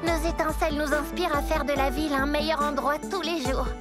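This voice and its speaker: Casting: woman for cheery rabbit girl in kids' animation, eager explainer, high voice, loud music behind. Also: fairly even intonation, fairly quick, lightly acted. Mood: enthusiastic. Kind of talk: French children's cartoon dub acting. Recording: loud background music under the voice.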